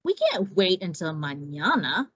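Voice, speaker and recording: A woman's voice: on the low side, sing-song, measured pace, slightly rough, exaggerated voice. A woman voicing a bossy, exasperated female iguana, emphatic and indignant, in a children's storybook. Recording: roomy, slightly dull recording.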